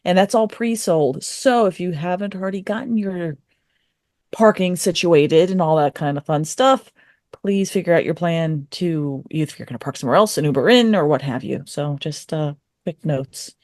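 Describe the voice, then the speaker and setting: Chatty woman podcaster, slightly low voice. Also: normal speed, darkish tone, no echo, clean studio sound. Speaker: podcast co-host. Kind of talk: casual chat with practical tips.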